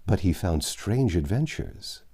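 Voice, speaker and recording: A man's voice: sits low, big pitch swings, unhurried, dark tone, slightly rough, heavily acted. A man reading the lines of a courtly medieval knight in stately, archaic speech. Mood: courteous. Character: courtly medieval male knight, formal and gallant.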